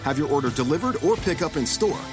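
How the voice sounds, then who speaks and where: A man reading a scripted radio commercial in an upbeat, steady announcer delivery. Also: on the high side, fast talker, over music.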